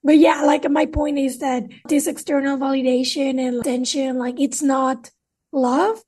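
A woman's voice: high voice, steady tone, measured pace, dark tone, distant mic. A woman hosting a podcast interview, chatty and casual, filling her speech with "like" and "right?". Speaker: podcast host.

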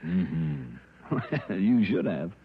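Wry radio detective: unflappable, lowish, very animated inflection, muffled vintage broadcast. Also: male voice, very noisy, heavily acted. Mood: wry, scolding. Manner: wry, unhurried. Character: cool, wry male private investigator, unflappable. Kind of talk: 1960s radio drama acting. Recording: dull old radio broadcast with music.

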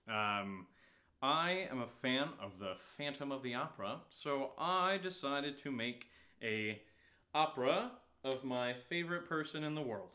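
A man speaking casually and haltingly as he introduces his own homemade piece. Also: mid-range voice, sharp tone. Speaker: show regular performing a homemade song.

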